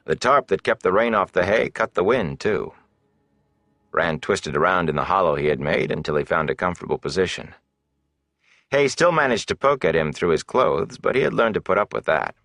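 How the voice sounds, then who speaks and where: A man's voice: low voice, gravelly, fairly bright tone, no echo, clean studio sound. A man narrating a fantasy novel as a scripted audiobook reading.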